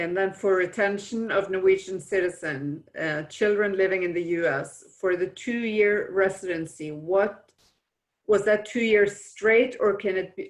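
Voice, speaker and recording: Low-voiced woman reading questions aloud. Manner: steady, reads questions, explains answers. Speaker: webinar moderator. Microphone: video call.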